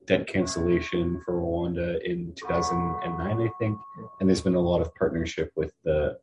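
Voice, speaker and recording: Deep flat-voiced young male interviewer. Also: slight background noise, rough recording. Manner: casual, rambling questions with fillers. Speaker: interviewer, student. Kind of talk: casual interview questions.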